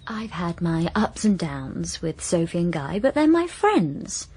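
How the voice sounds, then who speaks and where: Brisk wife and solicitor, lively swinging pitch, slightly husky, persuasive. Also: female voice, full character voice. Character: brisk, persuasive woman leaving her husband. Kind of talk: radio comedy drama dialogue.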